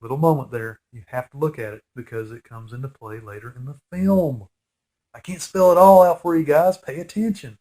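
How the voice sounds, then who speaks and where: A man's voice: a little high, fairly quick, dark tone. A man in his forties with a nasal head cold, giving a casual, rambling fan commentary over a movie with geeky enthusiasm.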